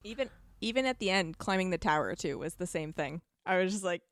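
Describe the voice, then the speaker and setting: Low-pitched woman chatting casually, dry close sound. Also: lively intonation, a little fast, dry recording. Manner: informal, dramatizes moments with repeated phrases. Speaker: podcast guest. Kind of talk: casual chat, animated storytelling about gaming.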